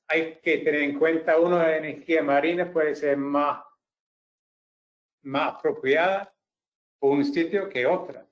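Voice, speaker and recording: A man who is not a native speaker, presenting as a webinar panelist, hesitant and searching for words. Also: on the high side. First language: not Spanish. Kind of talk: halting expert presentation.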